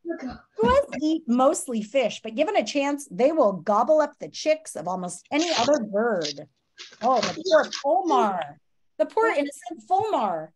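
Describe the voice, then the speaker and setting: A woman's voice: high-pitched, sing-song, measured pace, darkish tone, low-quality recording. A woman reads a children's nonfiction book aloud like a teacher, expressively and dramatically, with asides and questions to her listeners. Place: small room at home.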